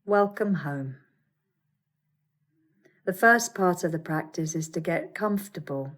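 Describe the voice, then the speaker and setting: A woman teaching yoga nidra, guiding a meditation with soothing, measured instructions and gentle pauses. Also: on the low side. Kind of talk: guided meditation.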